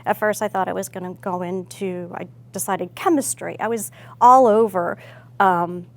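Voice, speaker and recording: American woman, middle-aged, average pitch, informal storyteller, self-correcting, casual interview answers. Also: fairly even intonation, moderate pace. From Chicago, United States. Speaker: hospital pharmacist.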